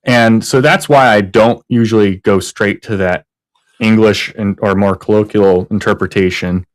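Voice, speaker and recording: A man co-hosting a live reaction stream, talking casually and acting out his opponents' replies. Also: moderate pace. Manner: animated, mimics opponents in a higher voice.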